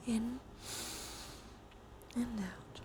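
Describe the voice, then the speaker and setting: A woman leading a guided ASMR relaxation, speaking softly and mostly in whispers, with a soothing, gentle manner. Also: fairly high pitch, fairly even intonation, extremely slow. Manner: soft, mostly whispered delivery. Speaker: live streamer leading relaxation exercises. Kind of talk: ASMR guided breathing and body scan with chat asides. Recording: clear background noise.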